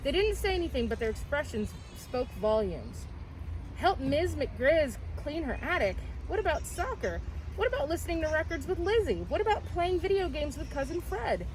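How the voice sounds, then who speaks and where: A woman with a high voice reads a children's picture book aloud. Her delivery is expressive storytelling, and the recording has clear background noise and ambience. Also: normal speed, rough recording.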